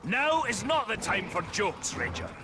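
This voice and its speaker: A man playing a gruff, hot-tempered dwarf hunter in a video game cutscene, blustering and impatient, with music under his voice. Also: high voice, wildly swooping pitch, measured pace, background noise, exaggerated voice. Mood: agitated, impatient.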